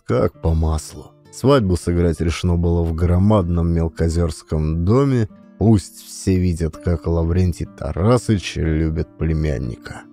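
A man reads classic fiction as an expressive audiobook narrator. His voice is deep and softly dark, with dramatic phrasing over faint music.

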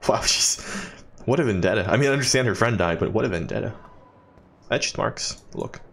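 A man's voice: on the low side, expressive, normal speed, vocal fry, fairly bright tone. A man doing casual, joking let's play commentary, with swearing and muttered asides.

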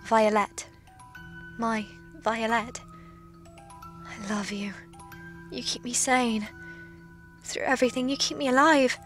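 A woman's voice: on the high side, fairly even intonation, takes it slowly, pauses often, a bit bright, soft background music. A woman playing a lonely, forgetful undead woman recording hesitant, intense logs in an audio drama. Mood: lovesick. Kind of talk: audio drama log monologue.

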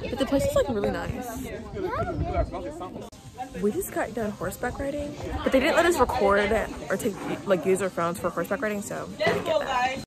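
A woman's voice: on the high side, expressive, fairly quick, long pauses, music in the background, a crowd in the background. A young adult woman chatting casually to camera as a travel vlogger, recorded on a handheld phone. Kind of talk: casual vlog chat. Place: varies: vehicle, outdoors, hotel room.

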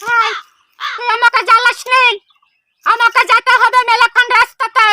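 A woman's voice: extremely high pitch, flat delivery, moderate pace, dry recording, full character voice. A woman playing an aggrieved, grumbling old grandmother with a rural Bengali accent, scolding and complaining in an amateur village comedy skit. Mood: scolding, reluctant. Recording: loud music over the dialogue.

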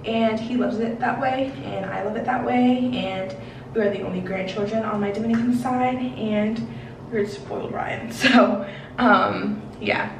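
A woman's voice: medium pitch, steady tone, measured pace. Chatty young woman, echoey bathroom. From Washington, D.C. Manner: chatty, rambling, many fillers and "like". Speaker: beauty vlogger. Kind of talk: casual get-ready-with-me chat. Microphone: camera a few feet away. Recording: roomy bathroom echo, mic at a distance, noisy.

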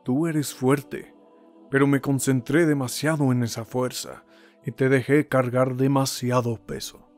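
A man playing an earnest, solemn older hero and teacher in an anime dub, speaking in measured, emphatic phrases. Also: medium pitch, fairly even intonation, a little mellow, soft background music, natural voice. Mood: remorseful. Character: earnest heroic older male mentor and teacher. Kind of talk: voice acting demo of anime dub monologue.

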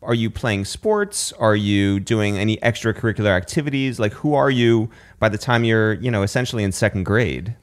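A man's voice: lowish voice, normal speed, hardly any pauses. A man hosting a podcast, casually asking a guest curious questions. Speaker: podcast host. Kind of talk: conversational interview.

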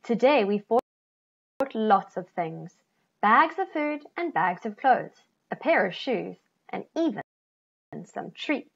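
A middle-aged woman reading a children's picture book aloud, expressively, with deliberate phrasing and stressed key words. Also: mid-range voice, sing-song, vocal fry, breathy edge. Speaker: children's story reader. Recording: slightly dull, lo-fi computer recording.

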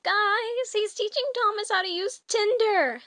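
A woman's voice: extremely high pitch, unhurried, a bit bright, no room sound, clean studio sound, pitched above the performer's own voice. A woman voicing mischievous, theatrical cartoon men in an exaggerated, dramatic amateur comic dub. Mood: teasing.

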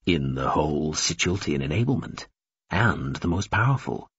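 A man voicing a calm, courteous, regretful emissary with formal, restrained phrasing in an audiobook. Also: extremely low pitch, normal speed, slightly rough, no echo, dull audio, voice pushed down, full character voice. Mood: regretful, firm. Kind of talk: audiobook character dialogue.

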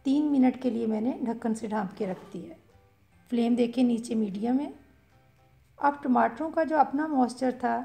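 Gravelly-voiced woman explaining a recipe. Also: a little high. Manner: calm step-by-step explaining with fillers. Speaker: cooking channel host. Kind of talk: voice-over cooking instruction.

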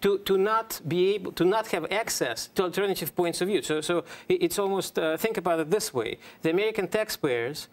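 A man speaking as an analyst on a studio political panel, in a halting way with many pauses. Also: sits high, lively intonation.

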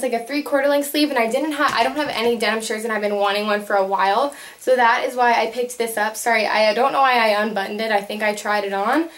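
A woman's voice: on the high side, a bit bright. A young woman in her teens or early twenties talking to camera in a casual, chatty vlog style, with lots of informal filler words like "like" and "you know". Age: teens to young adult. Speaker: vlogger. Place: small bedroom, indoors.

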